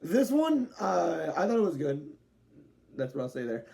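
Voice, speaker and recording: A man's voice: fairly high pitch, very animated, moderate pace, creaky, mellow. A young man casually reviewing a wrestling show at home, chatty and rambling, with restarts and the odd swear word. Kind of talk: casual spoken review to camera. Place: home room with dogs nearby.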